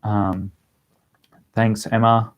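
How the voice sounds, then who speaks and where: A man's voice: fairly low pitch, little pitch movement, drawn-out words. A man with an Australian accent chatting casually as he answers viewers' questions on a livestream. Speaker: language-learning vlogger answering viewer questions.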